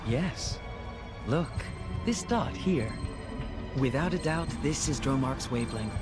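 Measured, scheming game villain, music behind. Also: male voice, medium pitch, expressive. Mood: calm scheming. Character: composed, scheming, bookish male villain. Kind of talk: dramatic video game acting. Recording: loud music behind dialogue.

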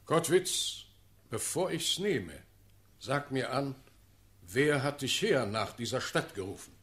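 A shrewd, imperious ruler in a classical radio play. He speaks slowly and formally, with pitch that rises and falls widely as he questions and commands. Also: expressive, fairly bright tone. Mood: stern courtesy. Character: commanding, shrewd ruling Elector of Brandenburg. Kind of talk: German classical verse radio drama.

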